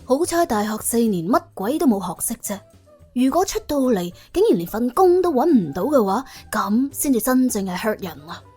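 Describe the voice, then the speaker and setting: A woman with a Cantonese accent tells a story in a highish, very animated voice. The delivery is wry and dramatic, with soft music running underneath. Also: moderate pace, husky. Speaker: audiobook narrator. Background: soft background music.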